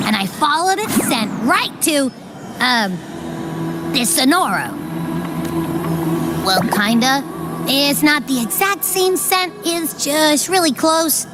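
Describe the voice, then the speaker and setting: An actress voicing a small, cheeky creature companion in a video game, chirpy, breezy and slangy. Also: female voice, sits high, noisy background, full character voice.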